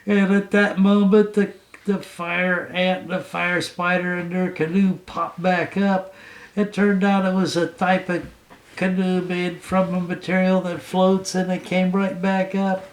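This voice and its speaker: A man improvising a bedtime story as a father would for his child, in simple, playful, halting narration. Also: high-pitched, flat delivery. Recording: roomy home recording.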